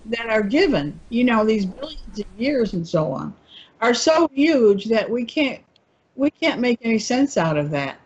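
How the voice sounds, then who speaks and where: A woman with a husky, breathy voice wanders through her thoughts in a relaxed discussion, often pausing to find the word. Her audio comes through dull and muffled. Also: a little high. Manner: meandering, searching for words. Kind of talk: casual discussion.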